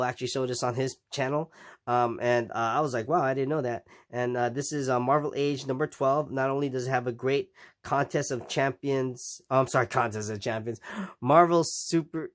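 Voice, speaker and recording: A man with a nasal voice from a recent cold, casually showing his purchases in a rambling vlog with many ums and restarts. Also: mid-range voice. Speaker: comic collecting vlogger. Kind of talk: casual show-and-tell vlog.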